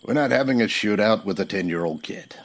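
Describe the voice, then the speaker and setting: A man playing a steady, weary Old West lawman in a radio-style western, husky, with an American accent and a measured, laconic delivery. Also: on the low side. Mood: hushed, wary.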